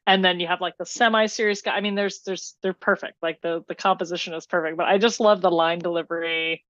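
A woman's voice: fairly low pitch, steady tone, fast, fairly bright tone, no echo, highs cut off. A woman with an American accent talking casually about films as a podcast co-host. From New Jersey, United States. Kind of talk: casual film discussion.

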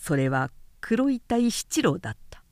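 A woman narrator giving a measured, clearly articulated reading of literary fiction in audiobook style. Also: on the low side, very animated, darkish tone, dry recording, clean studio sound.

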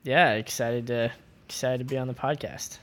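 A man's voice: mid-range voice, steady tone, moderate pace. A young man from Washington, D.C., a band member, chatting on a podcast and hesitating often with fillers. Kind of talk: casual podcast chat.

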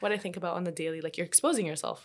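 A young woman from Colombia talks on a podcast with a fairly low voice. She thinks out loud in a measured way, often checking in with a "you know". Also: animated. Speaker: podcast host. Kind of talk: casual podcast conversation.

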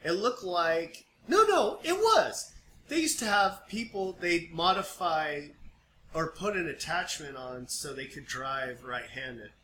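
Male podcast co-host, higher-pitched and bright, jokey rambler, roomy noisy sound. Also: big pitch swings, takes it slowly. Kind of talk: casual chat. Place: small room, podcast setup. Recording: distant echoey mic, background noise.

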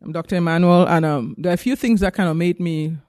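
A man's voice: a little high, fast, a little mellow, no room sound, clean studio sound. Earnest Cameroonian-born male doctor. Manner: earnest, emphatic. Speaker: physician guest. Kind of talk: passionate interview testimony.

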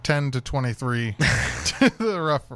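A man's voice: medium pitch, big pitch swings, slow, gravelly, a bit bright. A man co-hosting a sports radio show, chatting casually and explaining things step by step. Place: radio studio.

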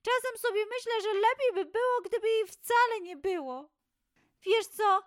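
A woman voicing an energetic, jealous little girl monkey in a children's storybook, with a whiny, emphatic, childlike delivery. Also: very high-pitched, moderate pace, no room sound, clean studio sound, pitched above the performer's own voice. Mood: sad, lonely.

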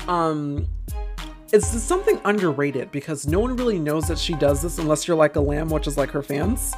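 A woman hosting a solo podcast in a casual, rambling way, with a gushing, theatrical and emphatic manner. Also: mid-range voice. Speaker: podcast host.

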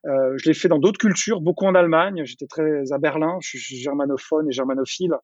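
A man's voice: fairly high pitch, brisk, darkish tone, no echo, dull audio. A middle-aged man talking casually in an interview, chatty and full of restarts and repeated words. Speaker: entrepreneur interviewee.

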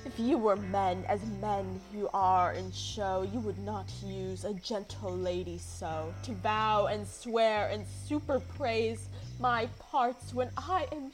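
Woman playing an indignant, slow-spoken young gentlewoman. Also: mid-range voice, steady tone, soft background music, natural voice, poor audio. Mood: hurt, reproachful. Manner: reproachful, emphatic, theatrical. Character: indignant, wounded young gentlewoman who feels mocked. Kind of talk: Shakespearean verse radio play acting.

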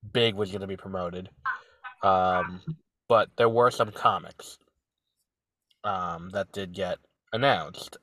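A man's voice: fairly low pitch, a bit bright, no echo. A man with a stuffy, nasal voice from a cold, co-hosting a podcast in casual chat, with lots of fillers and restarts. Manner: rambling, hesitant, many fillers and restarts. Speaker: podcast co-host.

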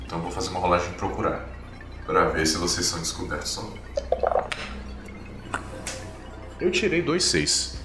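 A Brazilian man working as game master, improvising tabletop RPG narration in a halting, descriptive way with frequent tag questions. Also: low-pitched, sing-song. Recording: very echoey room, distant, music behind. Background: music.

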